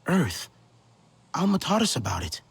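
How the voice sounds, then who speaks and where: A man's voice: a little high, huge pitch swings, normal speed, gravelly, breathy edge, no echo, exaggerated voice. A man playing an earnest, questioning young alien warrior in a video game, speaking sincerely. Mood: uncertain, earnest. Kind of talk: dramatic video game acting.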